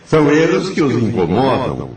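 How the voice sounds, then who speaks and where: A man voicing a kindly male spirit guide who gives gentle, reassuring advice in an audio drama. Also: mid-range voice, huge pitch swings, normal speed, very echoey, far from the mic, a crowd in the background, pitched above the performer's own voice, poor audio.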